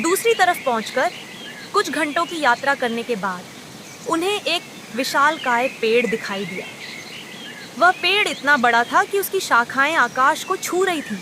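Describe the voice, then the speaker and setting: A woman narrating a cartoon story in a clear, steady storytelling manner. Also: high voice, noisy background.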